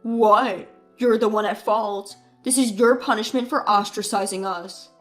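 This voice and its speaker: A woman voicing a rude, mocking adult brother who talks loudly and scornfully, with faint music behind. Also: medium pitch, steady tone, measured pace, close to an everyday voice. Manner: sneering, taunting.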